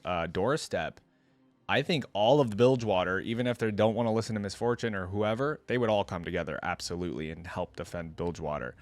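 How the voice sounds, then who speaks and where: A man's voice: lowish voice, no room sound. A man hosting a reaction video, giving casual, curious commentary and musing aloud.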